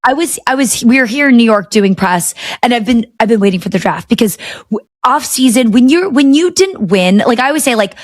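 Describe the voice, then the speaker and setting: A woman in her thirties in a casual chat as a podcast guest, excitable and full of restarts and fillers. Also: a little high, brisk, no room sound, studio quality.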